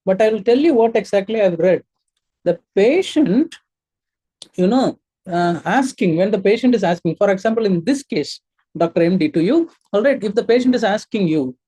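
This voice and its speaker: Mellow-voiced male medical exam mentor. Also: sits high, slightly rough, rough recording. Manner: emphatic, instructive, asks rhetorical questions. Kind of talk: coaching lecture.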